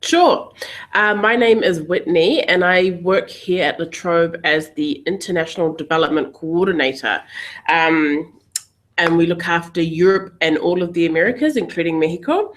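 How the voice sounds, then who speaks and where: A woman's voice: fairly low pitch, moderate pace. A woman, a university recruitment coordinator giving a friendly webinar presentation over a video call. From New Zealand.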